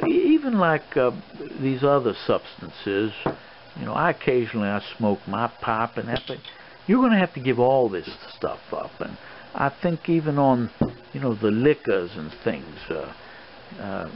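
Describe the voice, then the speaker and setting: A man playing a stern, fatherly old colonel in an improvised role play, scolding a young man in a halting, rambling way. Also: medium pitch. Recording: dull lo-fi recording with clear background noise.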